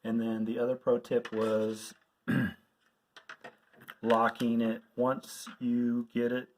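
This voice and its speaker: A man's voice: medium pitch, completely flat delivery, slow pace, a little hiss or hum. A man giving an informal hands-on tutorial, explaining things plainly and step by step as an instructor. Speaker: radio instructor.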